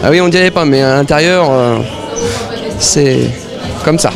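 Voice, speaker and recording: A man's voice: on the high side, a little slow, over music, crowd noise. A man in his thirties, a singer being interviewed at a concert, answering hesitantly with shy little laughs. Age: 30s. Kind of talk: casual interview. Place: concert venue.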